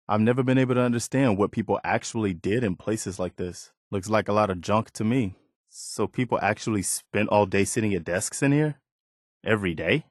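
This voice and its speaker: A man's voice: fairly low pitch, a little mellow, no room sound, studio quality, close to an everyday voice. A man voicing an earnest, hopeful militiaman companion in a post-apocalyptic video game, speaking in a plain, wistful and wary manner.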